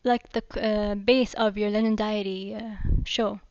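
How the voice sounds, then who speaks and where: A woman's voice: mid-range voice, flat delivery, a little slow, darkish tone, clean tone, dry recording, muffled recording. A woman interviewing a guest, asking questions and often affirming with short replies. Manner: frequent brief affirmations.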